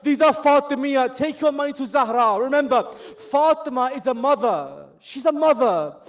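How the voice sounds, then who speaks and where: A man's voice: very high-pitched, lively intonation, normal speed. A man reciting a mournful religious sermon, telling the story with emotion that rises to anguished pleading. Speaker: majlis reciter.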